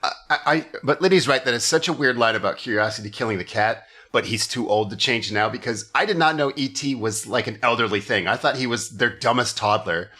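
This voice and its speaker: A man's voice: medium pitch, brisk, few pauses, bright, forceful tone. A man hosting a comedy podcast, chatting casually with wry, exasperated and profane riffing. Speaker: podcast host.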